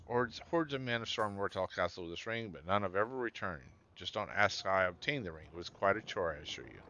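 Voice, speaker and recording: A man's voice: on the low side, bright, forceful tone, highs cut off. A man with a scratchy voice reading out the lines of a greedy, sly woman who trades at a forge, keeping to his own voice. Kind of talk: reading game dialogue aloud.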